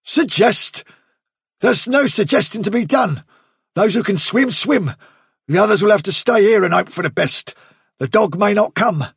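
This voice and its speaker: A man voicing a brash, bold young buck rabbit who speaks bluntly and forcefully. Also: sits high, expressive, moderate pace, vocal fry, slightly rough, dry recording, studio quality, pitched above the performer's own voice, full character voice. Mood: impatient.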